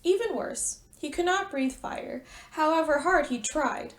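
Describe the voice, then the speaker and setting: A woman preschool teacher doing an expressive storytime read-aloud, speaking directly to young children. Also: sits high, a little slow. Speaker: preschool teacher reading a picture book.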